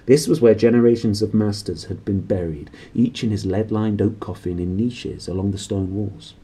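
A man in his thirties reading a children's novel aloud with animated, dramatic emphasis, recorded in a small room. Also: on the low side, breathy edge. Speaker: storybook reader. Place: small cluttered room indoors.

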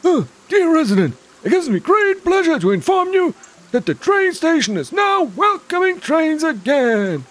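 Very high, proclaiming critter. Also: male voice, very animated, moderate pace, a bit bright, full character voice. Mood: grand, announcing. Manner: formal, proclaiming. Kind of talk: video game character dub.

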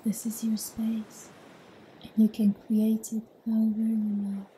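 A woman leading an ASMR guided meditation in a soothing, unhurried, mostly whispered delivery. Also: a little high, monotone, warm tone, slight background noise, poor audio.

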